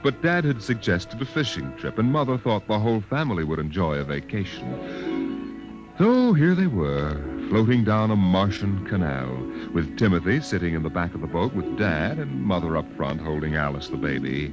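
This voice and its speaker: A man narrating a radio drama in a grave, deliberate, dramatic storytelling style. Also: deep voice, big pitch swings. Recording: muffled vintage radio recording with music.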